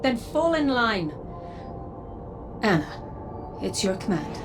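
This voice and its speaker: A woman's voice: fairly high pitch, big pitch swings, slow pace. A woman voicing a hard-edged, distrustful, commanding character in a video game, blunt and stern. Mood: brisk, commanding. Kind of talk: dramatic video game dialogue. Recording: background music under the voice.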